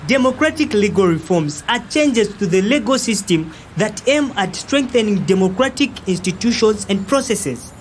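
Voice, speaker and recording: An indignant young Zambian man in an audio drama, played by an actor, pressing his point emphatically with lots of interjections. His pitch swings in a lively way, and some background noise sits behind him. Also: normal speed, hardly any pauses. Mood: insistent. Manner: argumentative, emphatic, frequent interjections.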